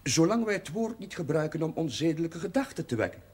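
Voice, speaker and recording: A flustered, higher-voiced Dutch chaplain. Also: male voice, very animated, a little mellow, slightly rough. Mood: uneasy. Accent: Netherlands Dutch. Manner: hesitant, halting, stammering evasions. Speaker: actor in television drama. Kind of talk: naturalistic television drama acting. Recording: noisy old television soundtrack.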